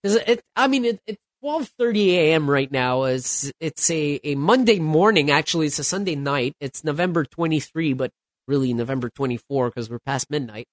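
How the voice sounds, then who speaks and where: A man's voice: fairly high pitch, lively intonation, moderate pace, no echo, clean studio sound. Stuffed-up man rambling on his podcast. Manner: rambling, loose, with many restarts and fillers. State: stuffed up, getting over a cold. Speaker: podcast host. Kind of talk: solo late-night podcast talk.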